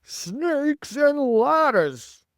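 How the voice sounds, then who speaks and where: A man voicing an excitable, alarmist fire chief in a storybook, with a dramatic, fretful delivery. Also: high-pitched, a little slow, no echo, clean studio sound, voice pushed up. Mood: panicked. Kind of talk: storybook character acting.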